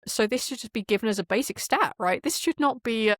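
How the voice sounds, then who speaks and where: A woman's voice: fairly high pitch, lively intonation, fairly quick, no room sound, studio quality. A woman chatting casually and opinionatedly as an interview guest. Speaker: podcast guest, game designer.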